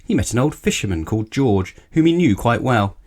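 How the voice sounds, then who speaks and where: A man's voice: mid-range voice, animated, brisk, darkish tone. A man reading a children's picture book aloud expressively, speaking directly to young listeners. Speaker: storyteller.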